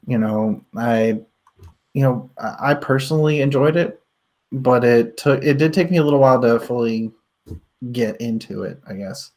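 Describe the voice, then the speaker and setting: A man's voice: mid-range voice, moderate pace, a little mellow. A man co-hosting a casual book talk show, rambling and hesitant, often laughing. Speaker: book podcast co-host.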